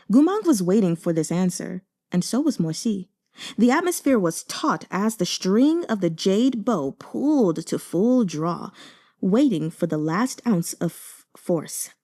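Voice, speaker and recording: A woman's voice: medium pitch, dark tone. A woman reading a novel aloud as dramatic narration. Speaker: audiobook reader.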